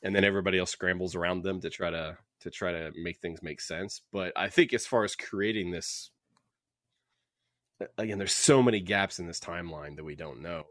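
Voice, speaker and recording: Male podcast co-host, low register, dry recording. Casual, opinionated, thinks aloud and repeats himself. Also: fairly quick, fairly bright tone, dry recording. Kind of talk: casual book-club discussion.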